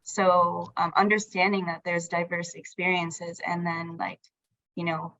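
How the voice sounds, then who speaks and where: A woman's voice: lowish voice, little pitch movement, measured pace, pushed and bright, dry recording, poor audio. A woman whose first language is Tagalog, talking casually in a group discussion, rambling and thinking aloud with plenty of "like".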